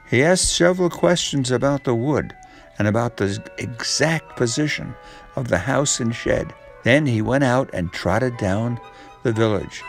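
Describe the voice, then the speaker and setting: A man narrating a children's story expressively as a storyteller. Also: medium pitch.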